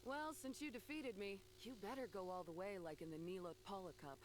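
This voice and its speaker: A woman's voice: mid-range voice, brisk, slight background noise, lightly acted. A woman voicing a proud, good-humored beast competitor in a video game, with an emphatic, sporting manner. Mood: rueful, sporting. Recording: game music under the voice. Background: game music.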